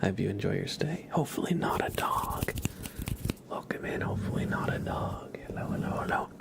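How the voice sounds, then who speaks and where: A man hosting an ASMR livestream, speaking in soft whispers with a gentle, playful manner. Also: fairly low pitch, lively intonation, brisk, gravelly. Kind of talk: ASMR, whispered roleplay and chat with viewers.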